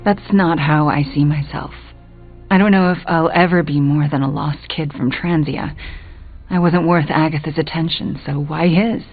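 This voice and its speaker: A woman's voice: low voice, steady tone, normal speed. Young female magic apprentice, unsure of herself, earnest, phone-like game audio over music. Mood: insecure. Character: self-doubting young female sorceress apprentice. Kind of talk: video game character dialogue. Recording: muffled phone-like band, music behind.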